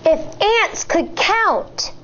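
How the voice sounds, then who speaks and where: An American woman in her thirties, a teacher reading a picture book aloud to children in an expressive, deliberate way. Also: very high-pitched, lo-fi sound, slight background noise. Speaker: elementary school teacher.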